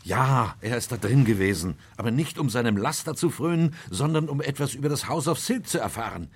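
An actor playing a loyal, worried Victorian gentleman in a German radio crime drama, earnest and measured, with a husky voice. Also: medium pitch, animated. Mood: urgent, worried. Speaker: narrator and character in detective radio play.

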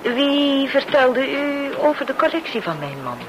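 A woman's voice: sits high, big pitch swings, unhurried. Polite widowed landlady, swooping intonation, slightly flustered, noisy vintage radio drama. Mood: modest, surprised. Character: Mrs Barclay, polite, modest widowed landlady. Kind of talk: Dutch-language radio drama acting. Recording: noisy old radio play recording.

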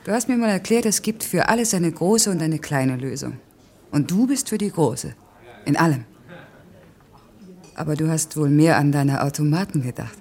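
A woman's voice: low-pitched, lively intonation, moderate pace, mellow, slightly rough, full character voice. An actress playing a stubborn, self-doubting working woman in a naturalistic radio play, frank and wry in manner. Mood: pensive, frank.

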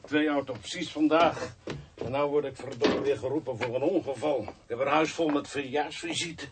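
An actor playing a grumbling, sardonic doctor in a radio drama, with a husky voice and a dry manner. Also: male voice, medium pitch, normal speed, heavy background noise, close to an everyday voice. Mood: grumbling, irritated. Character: grumbling sardonic male doctor examining bodies.

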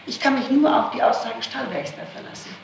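A woman's voice: on the high side, sing-song, fast talker, vocal fry, highs cut off. A woman being questioned as a suspect, answering defensively and insistently and repeating herself. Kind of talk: police interrogation answers. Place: echoey room, archival interrogation tape. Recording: noisy, roomy archival 1960s tape recording.

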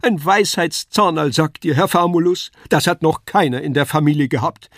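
A man puts on a clownish wooden puppet from a storybook, pitched high above everyday speech. He exclaims and whines in rustic dialect, a lively little rascal with a dry, close sound. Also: normal speed, dry recording, natural voice. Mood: wailing, plaintive. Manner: exclaiming, whining, rustic southern dialect diminutives.

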